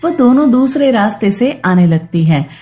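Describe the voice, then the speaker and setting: A woman's voice: on the high side, lively intonation, normal speed, warm tone, soft background music. A woman storyteller reading scripted narration for a children's story.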